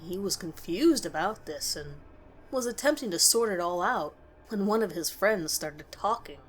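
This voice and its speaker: A woman reading a scripted scary story as narrator, in a measured, dramatic way with pauses. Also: medium pitch, expressive, low-quality recording.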